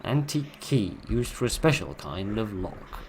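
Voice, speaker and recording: A hoarse man giving dry, wry, rambling commentary while playing a horror game at his computer. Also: fairly low pitch, sing-song, moderate pace, slight background noise. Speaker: gameplay commentator. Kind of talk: let's play commentary.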